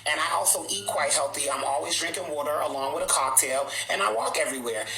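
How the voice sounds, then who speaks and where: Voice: medium pitch, lively intonation, fast talker, gravelly, roomy sound, crowd noise, rough recording. A livestream host, born in Trinidad and raised in Boston, talking bluntly and sassily to viewers. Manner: blunt, sassy, outspoken. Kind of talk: livestream rant answering viewers.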